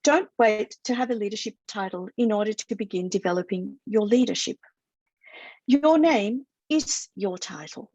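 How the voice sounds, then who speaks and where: A woman speaks on a video call as a guest researcher, earnest and reflective. She often doubles words while working out her thoughts. Her voice is mid-pitched and sits close and dry, with no room around it. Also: normal speed, darkish tone, dry recording, highs cut off. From Chile. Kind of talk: conference talk and chat on a video call.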